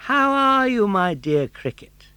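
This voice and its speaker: A man's voice: high voice, very animated, a little slow, bright, forceful tone, smooth, no echo, pitched above the performer's own voice, exaggerated voice. A man voicing an earnest, polite young boy puppet in an eager manner for an audiobook. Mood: flustered, sheepish.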